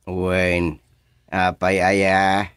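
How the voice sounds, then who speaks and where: A man's voice: sits low, completely flat delivery, very slow, fairly bright tone, clean tone, exaggerated voice. Agreeable village husband, played by a man. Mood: agreeable, planning. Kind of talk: Ilocano radio comedy drama acting.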